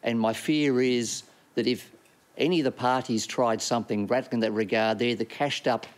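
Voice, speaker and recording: A man putting a prepared question to a panel, then talking it through in an earnest, deliberate way. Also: mid-range voice, fairly even intonation. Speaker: audience questioner.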